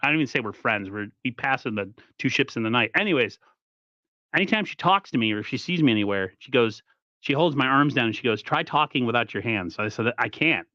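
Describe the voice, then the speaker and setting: A man co-hosting a casual sports livestream, talking in halting, slangy bursts while he hunts for words. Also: medium pitch, animated, sharp tone, dry recording, highs cut off. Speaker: podcast co-host.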